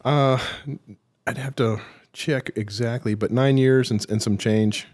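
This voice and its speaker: A man's voice: medium pitch, steady tone, a little slow, a little mellow. A middle-aged military veteran, a man, telling his career story in an interview with dry, self-deprecating humor and many hesitations.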